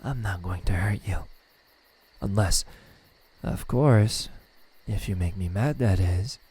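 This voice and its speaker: A man playing a lonely immortal demon in an ASMR roleplay, haughty and halting, secretly tender, with a husky voice. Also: low voice, dark tone, exaggerated voice. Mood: threatening, haughty. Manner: slow, halting, condescending speech with stammers and sighs. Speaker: voice actor.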